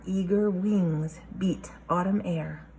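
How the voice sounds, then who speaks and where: A woman teacher reading a picture book aloud to young children, expressive, pausing to question and explain. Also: lowish voice, a little hiss or hum.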